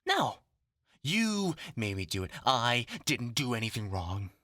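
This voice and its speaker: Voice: medium pitch, big pitch swings, a little slow, sharp tone, no room sound, clean studio sound. An actor in a horror audio roleplay voicing a bitter, grandiose scientist bent on revenge, mocking, theatrical and menacing. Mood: manic, grandiose. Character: vengeful, gloating scientist turned superhuman, bitter and grandiose.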